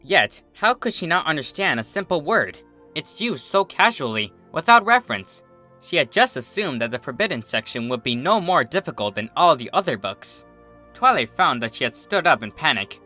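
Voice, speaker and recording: A man narrates a story with a lively, swooping delivery and a bright, forceful edge. His reading is expressive and scripted, with soft music underneath. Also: a little high, moderate pace. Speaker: fan fiction narrator.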